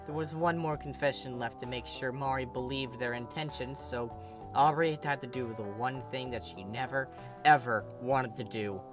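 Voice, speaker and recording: A woman reading fan fiction as scripted narration, in an expressive, dramatic manner. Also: a bass voice, normal speed, music in the background. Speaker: story reader.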